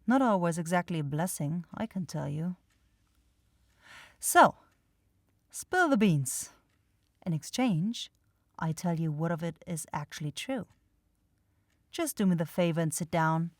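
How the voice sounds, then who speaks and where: Woman doing an aloof vampire girl asmr roleplay, slow n teasing, kinda sardonic, totally dry sound no room. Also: lowish voice, expressive, no room sound. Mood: curious, playful. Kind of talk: ASMR roleplay addressed to the listener.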